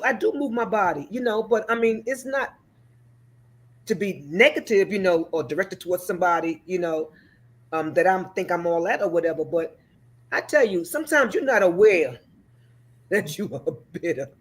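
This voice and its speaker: A woman guest with a deep voice, speaking hesitantly and filling her pauses with ums and you-knows. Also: lively intonation. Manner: hesitant, many fillers. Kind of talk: casual discussion.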